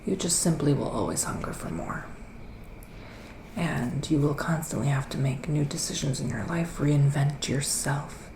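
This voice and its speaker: Guy doing asmr psychic witch reading, soft whispery voice, slow n soothing, bit roomy with faint hiss. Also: a little high, animated. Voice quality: soft, breathy, often dropping to a whisper. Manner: slow, deliberate, pausing, soothing delivery. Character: gentle male witch giving a psychic reading. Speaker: ASMR performer playing a psychic tarot reader.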